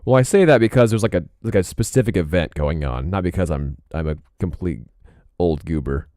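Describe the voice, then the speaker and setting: A young man talks loosely to his stream while he works, drifting from one thought to the next and clearing his throat now and then. His voice sits low and comes through smooth and clean. The sound is dry and close, with no room around it. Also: expressive, brisk, mellow, no echo. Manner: laid-back, rambling, frequent throat clearing. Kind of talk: casual live-stream chat while drawing.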